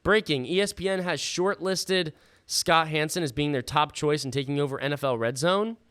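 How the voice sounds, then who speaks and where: A man hosting a live fantasy football stream in a casual, slangy, sweary way, thinking out loud, his voice starting to give out. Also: fairly high pitch, normal speed, smooth. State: losing his voice. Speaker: livestream host. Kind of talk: casual live fantasy football draft commentary with chat shout-outs.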